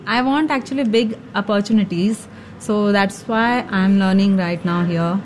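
A woman of thirty with an Indian accent speaks in simple, non-fluent English. She is a student being interviewed in a classroom, her voice medium in pitch, with faint room noise around her. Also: unhurried, darkish tone, rough recording. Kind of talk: short simple interview answers. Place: school classroom.

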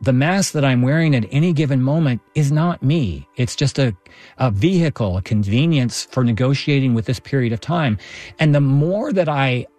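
A middle-aged man from Texas, a professor, talking thoughtfully as an interview guest, with measured phrasing and small restarts. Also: medium pitch, darkish tone, dry recording. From Texas, Houston. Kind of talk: interview guest, reflective storytelling.

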